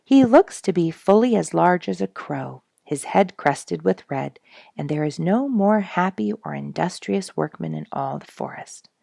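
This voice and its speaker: Gravelly, husky woman reading a story. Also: low voice, darkish tone, dry recording, clean studio sound. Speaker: audiobook reader and show host. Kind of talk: expressive storybook narration.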